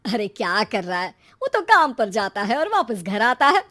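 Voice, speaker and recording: A woman's voice: fairly high pitch, very animated, normal speed, fairly bright tone. A woman voicing a naive, easily worried mother in a storybook tale, anxious and protesting.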